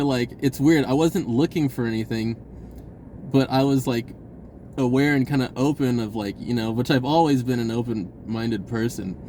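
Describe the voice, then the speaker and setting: A man telling a personal story in a casual, rambling vlog style, slangy and informal, often halting and restarting his sentences. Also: medium pitch, darkish tone, slight background noise. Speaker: vlogger.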